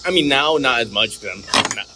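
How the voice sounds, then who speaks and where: A young man from North Carolina answering interview questions in a relaxed, rambling way with many fillers. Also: mid-range voice, brisk, very noisy.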